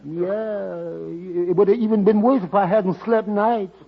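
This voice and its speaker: A meek little barber who trips over his words in a high, nervous voice, sweet and a bit dim; old-time radio comedy with a dull, lo-fi vintage sound. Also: steady tone, a little slow, very noisy. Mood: innocent. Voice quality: stammering, hesitant. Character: timid stammering male barber, sweet-natured and dim. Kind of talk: 1940s radio comedy acting.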